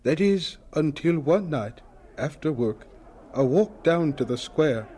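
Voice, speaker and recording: An actor playing a gentle, modest elderly professor reminiscing in a wry, reflective way in a radio drama. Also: male voice, fairly high pitch, lively intonation, slow, slightly rough, heavily acted.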